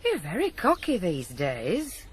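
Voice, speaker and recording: Middle-aged mother, sceptical, teasing, lively swoops in pitch, radio drama, faint noise. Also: measured pace. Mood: wry, wary. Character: wry, weary working-class mother of a teenager.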